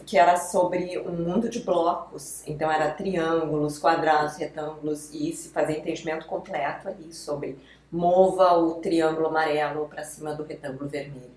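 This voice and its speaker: A woman's voice: low-pitched, steady tone, moderate pace, darkish tone. A woman who patiently explains ideas step by step with examples, as a guest expert in a conversation. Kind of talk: explanatory podcast talk. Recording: roomy echo.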